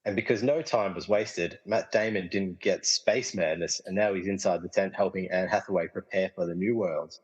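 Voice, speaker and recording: A man playing an easygoing, casually explaining film lover trapped in a movie, in a comedy radio play, with a husky voice and long reverb. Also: sits low, moderate pace, few pauses, lo-fi sound. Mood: amused, casual. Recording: long reverberant hall echo.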